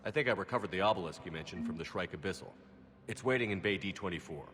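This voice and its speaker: Deep, brisk military commander. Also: male voice, a bit bright. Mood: matter-of-fact. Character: businesslike military commander, offering help. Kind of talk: video game character acting. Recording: reverberant hall with music behind.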